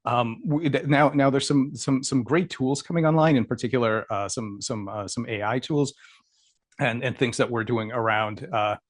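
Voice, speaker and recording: Man, academic lecture, stumbling restarts, hoarse, close dry sound, mid pitch. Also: dry recording. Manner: halting, many fillers and repeated words. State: losing his voice, coughing. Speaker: scientist giving a lecture. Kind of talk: academic talk over slides.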